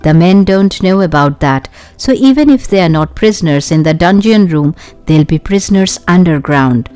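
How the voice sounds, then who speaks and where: A woman's voice: fairly low pitch, expressive, moderate pace, darkish tone, clean tone, lightly acted. A woman voicing an eager, brave young boy in an audiobook, speaking with determination. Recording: music behind the voice.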